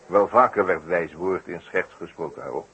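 An actor playing an urbane, teasing detective in a 1960s radio play, with pompous, ironic, wordy phrasing. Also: male voice, low-pitched, normal speed, a bit bright, muffled recording. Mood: probing. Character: wry male amateur detective, urbane, teasing, inquisitive. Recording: noisy vintage radio play recording.

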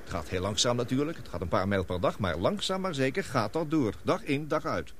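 An actor playing a gloomy, guilt-ridden scientist in a radio play, speaking gravely and deliberately. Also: male voice, medium pitch, lively intonation, slight background noise, close to an everyday voice. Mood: grave explaining. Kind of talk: radio drama acting.

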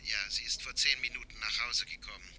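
A man's voice: mid-range voice, fairly even intonation, fast, crowd noise, lightly acted. An actor playing a hesitant, worried doctor and father in a 1950s radio play. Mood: worried, resigned. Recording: sharp, faintly noisy old radio recording.

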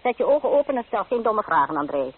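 An actress playing a domineering, scolding old grandmother with a sharp tongue in a radio play. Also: medium pitch, sing-song, fast, husky. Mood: sarcastic, bossy. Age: older. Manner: commanding, sharp-tongued, sarcastic. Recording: tinny, band-limited old radio play.

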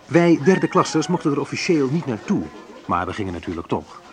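A man's voice: medium pitch, sing-song, brisk, faint music behind, natural voice. An actor playing a wry, reflective man who narrates memories of his youth in an intimate radio drama, confiding and a little hesitant. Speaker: narrator and main character of a radio play.

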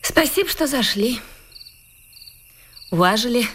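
Tender, higher-voiced village woman. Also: animated, unhurried, slight background noise. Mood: gently reproachful. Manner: simple, reserved, gently reproachful. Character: plainspoken village woman, tender, quietly holding a man back. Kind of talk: Russian radio play acting. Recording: music behind the voice.

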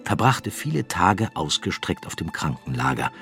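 A man narrating a literary radio drama in a measured storytelling manner. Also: low-pitched, big pitch swings, gravelly, fairly bright tone, soft background music.

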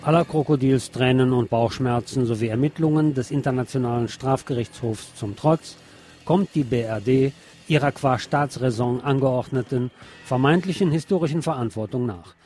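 A male radio presenter reading out press reports and commenting on them in a deliberate, emphatic way. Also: medium pitch, a little mellow. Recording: music behind the voice. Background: background music.

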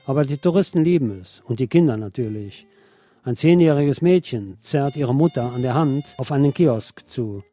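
Mellow male radio essayist. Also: medium pitch, animated, normal speed. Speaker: radio host. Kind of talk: scripted personal essay narration.

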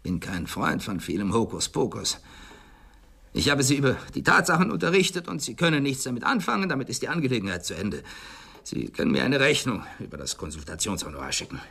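An actor playing a crotchety, imperious old millionaire in a German radio drama, brusque, growling and impatient. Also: male voice, mid-range voice, very animated, a little fast, vocal fry, sharp tone, husky, full character voice. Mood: curt, dismissive. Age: older.

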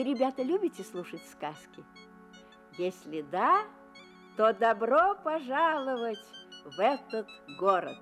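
Kindly Keeper of tales in a children's audio play: highish, very animated, inviting storyteller. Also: female voice. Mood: welcoming. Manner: inviting, addresses children, unhurried, expressive. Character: kindly, wondering female Keeper of Fairy Tales. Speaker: storyteller and guide.

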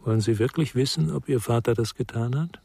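A man playing a reserved middle-aged psychotherapist in a radio drama, speaking in a measured, probing, reflective way. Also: mid-range voice, gravelly, mellow, slightly rough, no room sound. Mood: sober, reserved. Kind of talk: naturalistic radio drama acting.

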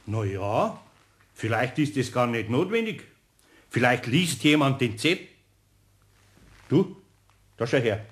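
A man's voice: medium pitch, very animated, measured pace, long pauses. An actor playing a good-natured older master craftsman with a Bavarian accent and a homely, muttering manner in a children's radio play. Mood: friendly, musing.

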